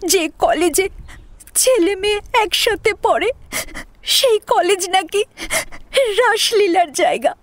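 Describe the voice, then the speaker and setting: A woman's voice: extremely high pitch, lively intonation, slow pace, pauses often, no room sound, voice pushed up, exaggerated voice. A woman playing an anxious, proper young college student in love, hesitant and pleading, in an audio story. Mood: tearful, despairing. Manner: hesitant, pleading, easily scandalised. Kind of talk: melodramatic audio story acting.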